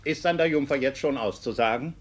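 Actor as husky, stern court official. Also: male voice, medium pitch, brisk, natural voice. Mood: reproving. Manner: formal, reproving. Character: stern, educated, exasperated court inspector, superior in rank. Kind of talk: classic German radio play.

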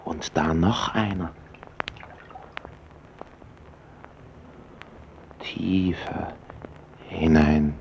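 Casting: haunted man whispering in dread, deep and slow, 1930s radio play, lo-fi. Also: fairly even intonation, gravelly, slight background noise, heavily acted. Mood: fearful murmur. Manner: hushed, fearful, often whispering. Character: haunted man washing off blood at night. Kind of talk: 1930s radio drama acting. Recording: dull old radio broadcast recording.

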